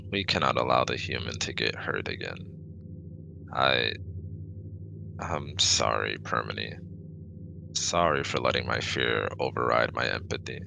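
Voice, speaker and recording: A man's voice: very deep voice, creaky, sharp tone, slight background noise, exaggerated voice, poor audio. A man voicing a formal, cautious but kind male alien with precise, stiff wording in a sci-fi ASMR roleplay. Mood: contrite.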